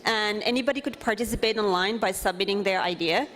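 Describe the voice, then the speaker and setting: A woman from Finland, presenting at a conference into a microphone, earnest, with many pauses and fillers. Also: medium pitch, a bit bright. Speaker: conference speaker. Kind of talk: presentation talk. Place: conference hall.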